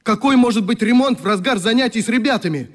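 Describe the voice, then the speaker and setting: An indignant youth club leader in a radio drama. His voice is high, his manner forthright and principled, with a cutting, sarcastic edge. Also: expressive, fast talker. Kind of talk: radio play acting.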